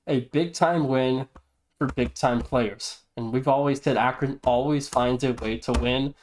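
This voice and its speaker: A man's voice: mid-range voice, steady tone, unhurried. A man hosting a podcast, giving league news and casual commentary in an emphatic way with repeated stock phrases. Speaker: podcast host and league presenter.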